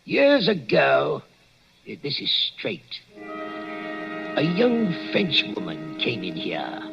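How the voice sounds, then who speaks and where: An actor playing a nervous old night watchman in a 1940s radio drama, hushed and hesitant. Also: medium pitch, huge pitch swings, slow pace, heavily acted. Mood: uneasy. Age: older. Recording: dull old 1940s radio broadcast with music.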